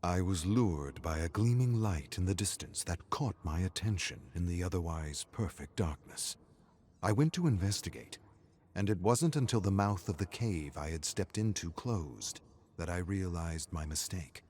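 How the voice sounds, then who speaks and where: A grave explorer of a dark void, played by a man, reads out a journal in a low voice. The delivery is measured, scripted game storytelling. Faint noise sits behind the words. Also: lively intonation. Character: explorer recounting grim encounters in a dark void. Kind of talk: scripted first-person journal narration.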